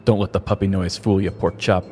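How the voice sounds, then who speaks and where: Man playing a deep, weary police sergeant. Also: level tone, a little fast, mellow, close to an everyday voice. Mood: stern. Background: background music.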